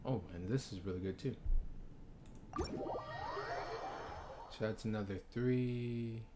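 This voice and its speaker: A man's voice: on the low side, takes it slowly. A man giving casual gameplay commentary as he streams, often muttering to himself. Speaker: game streamer. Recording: clearly noisy room sound.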